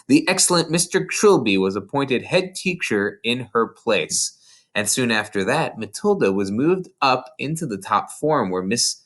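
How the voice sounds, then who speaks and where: An adult reading a children's novel aloud as expressive scripted narration. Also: mid-range voice. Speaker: storybook reader.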